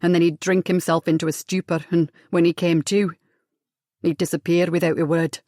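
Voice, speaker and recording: A woman narrator puts on a tender, wounded young man who speaks low, earnest and halting. His delivery stays flat and even, never lifting much. The sound is dry and close. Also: no echo, close to an everyday voice.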